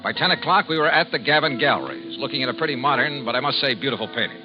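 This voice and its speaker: A man's voice: mid-range voice, very fast, natural voice. An American man playing a shrewd, cool insurance investigator in a 1950s radio detective drama, with a wry, clipped, colloquial delivery. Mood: wry narrating. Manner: wry, clipped, colloquial, narrates as well as converses. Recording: muffled vintage radio recording, faint music.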